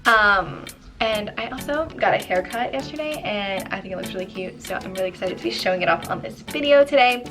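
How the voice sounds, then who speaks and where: A woman in her twenties from New Jersey, slightly hoarse and losing her voice, telling a story in a chatty vlog full of ums and likes. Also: mid-range voice, expressive, brisk, over music. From New Jersey, USA. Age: 20s. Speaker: YouTuber.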